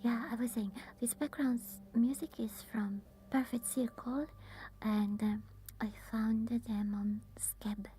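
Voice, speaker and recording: A woman with an Italian accent, softly whispering while chatting with viewers on an ASMR live stream. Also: a little high, fairly even intonation, unhurried, a little hiss or hum. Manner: soft whispering.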